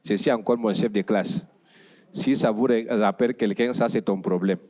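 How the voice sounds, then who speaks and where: A man's voice: lowish voice, steady tone, moderate pace, a little mellow. A man hosting a stage show, introducing the next comedian in a playful, teasing way.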